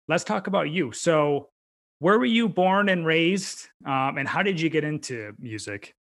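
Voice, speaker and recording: A man's voice: a little high, lively intonation, unhurried. A man from upstate New York with an American accent, interviewing a guest as a podcast host in an informal, encouraging way. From upstate New York, United States. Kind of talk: conversational interview.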